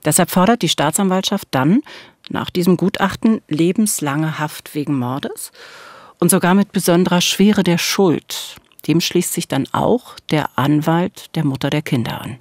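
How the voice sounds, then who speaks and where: A woman's voice: lowish voice, a little slow, darkish tone, no echo. A middle-aged woman speaks as a true-crime podcast host. Her delivery moves between scripted storytelling and conversation, recorded close and very dry in a studio. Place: small dark podcast studio. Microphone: close studio microphone with headphones.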